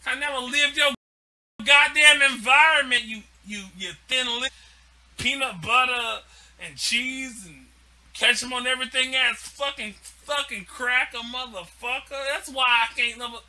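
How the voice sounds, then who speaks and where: Very high-voiced man ranting profanely. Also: unhurried, sharp tone. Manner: profane, heated, repetitive ranting. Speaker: live stream host. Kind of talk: ranting live stream monologue.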